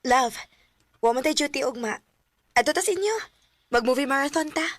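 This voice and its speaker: A woman's voice: high-pitched, animated, normal speed, no echo, studio quality. An actress playing a young, plain-spoken, easily hurt girlfriend in a radio drama, with a Filipino Cebuano accent. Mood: affectionate.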